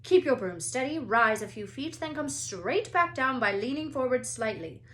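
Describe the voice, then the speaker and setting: Woman playing a brisk, barking flying teacher. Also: high-pitched, sing-song, fairly bright tone, pitched above the performer's own voice. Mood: barking, commanding. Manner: barking, commanding. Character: brisk, bossy older female flying teacher, barking orders.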